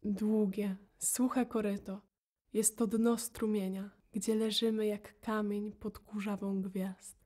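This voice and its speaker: A woman's voice: mid-range voice, flat delivery, slow pace, mellow. A woman reciting poetry for an audio drama in a solemn, restrained way.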